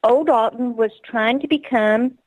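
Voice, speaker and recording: A woman's voice: fairly high pitch, measured pace, no echo, low-quality recording. A woman with a Texas accent, a guest author telling stories earnestly on a radio interview. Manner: earnest, excitable storytelling.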